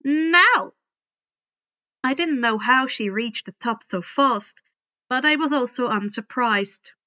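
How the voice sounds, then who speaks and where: Bright, unhurried woman narrating a story. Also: fairly high pitch, muffled recording. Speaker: fan fiction audiobook reader. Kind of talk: expressive first-person scripted narration.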